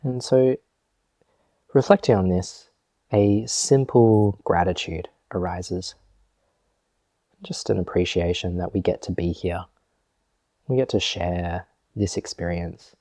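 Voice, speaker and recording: Slow, deep-voiced man guiding meditation. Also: dry recording. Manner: gentle, measured, with long pauses. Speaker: meditation teacher. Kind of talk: guided meditation.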